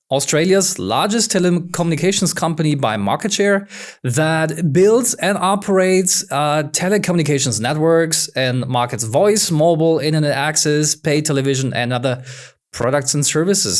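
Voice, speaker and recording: Upbeat male podcast host, higher voice. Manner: upbeat, filler-heavy questions. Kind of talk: podcast interview.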